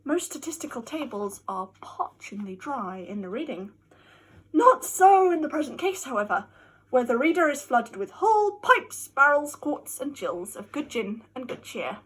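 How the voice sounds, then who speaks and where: A woman giving a theatrical, mock-scholarly dramatic reading of a classic novel, with emphatic delivery and a husky voice. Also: high voice, very animated, moderate pace, fairly bright tone, rough recording. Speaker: amateur reader.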